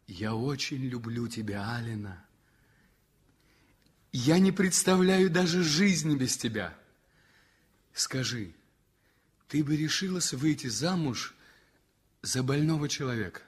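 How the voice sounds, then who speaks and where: An actor playing a gravely ill young journalist in love, speaking tenderly and earnestly in a 1960s radio play. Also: male voice, mid-range voice, expressive, takes it slowly, pauses often. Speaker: Frantishek.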